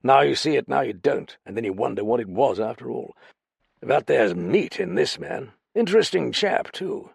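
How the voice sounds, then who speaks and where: A man voicing a gruff, opinionated titled gentleman with a clipped, growling, commanding manner. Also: medium pitch, expressive, moderate pace, no room sound, clean studio sound, pitched above the performer's own voice, heavily acted. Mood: gruff, decisive.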